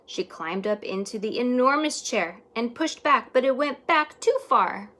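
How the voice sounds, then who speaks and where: A woman teacher reading a children's story aloud expressively, stretching words and hushing some for emphasis. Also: fairly high pitch, animated, a little slow.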